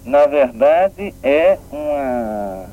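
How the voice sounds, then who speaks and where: A man's voice: mid-range voice, animated, drawn-out words. A man from Pernambuco with a northeastern Brazilian accent, explaining things didactically as a radio interview guest and often checking with tag questions. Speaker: guest, regional development agency official. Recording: narrow phone-like band, faint noise.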